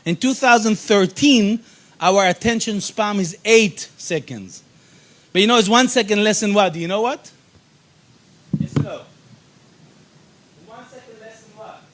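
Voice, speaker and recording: Middle-aged Israeli-born speaker, high voice, punchy and repetitive, workshop presenter. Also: male voice, unhurried, pauses often, slight background noise. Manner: animated, emphatic, repeats key phrases. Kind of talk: motivational workshop talk.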